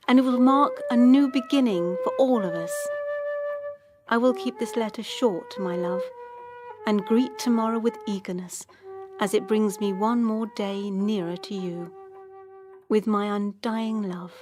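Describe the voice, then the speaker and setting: A tender, devoted voice reads a wartime love letter, performed by a woman. It is measured and a little high, set against prominent flute music in a radio drama. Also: natural voice.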